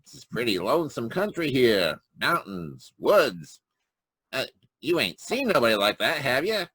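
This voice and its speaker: A man's voice: medium pitch, very animated, unhurried, a bit bright, husky, no room sound, full character voice. A man playing a chatty, folksy country gas station attendant in an old-time radio mystery play. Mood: incredulous, chatty. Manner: folksy, colloquial, easygoing small talk. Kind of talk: 1940s radio mystery acting. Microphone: remote video call.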